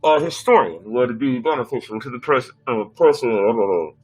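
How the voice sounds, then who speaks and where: A man performs a servile ship's computer from a sci-fi tale. The delivery is measured, formal and technical. Music plays behind it. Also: medium pitch, expressive, darkish tone, husky, poor audio. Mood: neutral, informative. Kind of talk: dramatic reading of sci-fi script.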